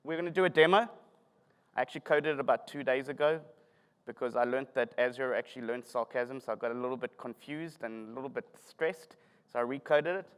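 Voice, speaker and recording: A man's voice: mid-range voice, a little fast, smooth. A middle-aged man with a South African accent giving a self-deprecating, joking conference talk. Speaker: conference speaker. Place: conference hall. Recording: long hall reverb.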